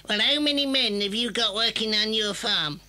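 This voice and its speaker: An actor playing a smug, scheming farmer in a children's audio story, with a deliberate, self-satisfied manner. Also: male voice, high-pitched, bright, forceful tone. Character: scheming, smug male farmer leading his cronies.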